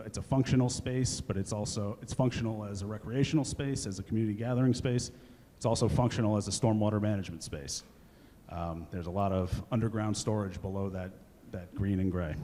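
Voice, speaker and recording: Man planning consultant, halting delivery with fillers, mellow and low, recorded in a reverberant meeting hall. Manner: halting, many fillers and restarts. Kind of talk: public meeting presentation. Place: municipal meeting room.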